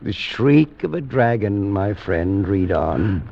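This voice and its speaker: A male actor playing a doomed, haunted gentleman sinking into madness, in slow, theatrical 1940s radio horror style on a dull old recording. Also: on the low side, lively intonation, vocal fry, heavily acted. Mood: brooding, listless. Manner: slow, brooding, theatrical delivery building to frenzy.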